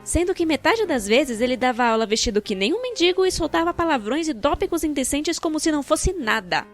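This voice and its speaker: A Brazilian woman with a fairly high voice narrates a personal story from a script. Her delivery is expressive and wry, with colloquial phrasing. Music plays behind her voice. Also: brisk. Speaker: narrator of a fan-dubbed animated storytime video. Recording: background music.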